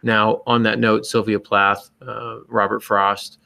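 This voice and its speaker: A man's voice: lowish voice, flat delivery, slow pace, vocal fry, bright, forceful tone. A man hosting a podcast with casual, joking chat, enthusiastic and somewhat rambling. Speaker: podcast host.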